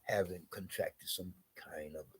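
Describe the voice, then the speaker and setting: A man vlogging slowly and rambling, congested with a scratchy throat, repeating "you know". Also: low voice, fairly even intonation, fairly bright tone, breathy edge. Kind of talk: rambling personal vlog.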